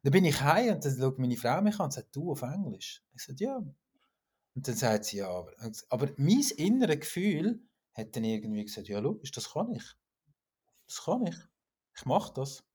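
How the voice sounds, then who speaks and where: A man with a Swiss German accent, co-hosting a podcast and telling stories in a lively way, with frequent stumbles. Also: medium pitch, moderate pace, breathy edge. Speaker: podcast co-host. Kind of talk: casual chat.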